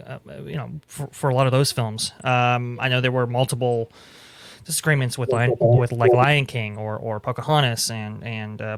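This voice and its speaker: Male panelist, conversational and halting, mid-pitched, very dry recording. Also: fairly bright tone, clear, resonant tone, no echo. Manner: halting, repeats words as he thinks. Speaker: panelist giving commentary. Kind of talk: casual discussion of entertainment business.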